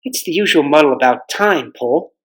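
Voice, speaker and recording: A man's voice: fairly high pitch, expressive, moderate pace, a bit bright, rough recording. A man voicing a cheerful young schoolboy with a chirpy, matter-of-fact manner and old-fashioned slang, from a storybook.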